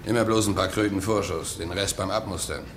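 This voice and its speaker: A bitter ship's coal trimmer in a radio play. His voice is very deep, gruff and resigned, with terse working-man speech. Noisy ambience sits behind him. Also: steady tone, a little fast. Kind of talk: radio drama acting.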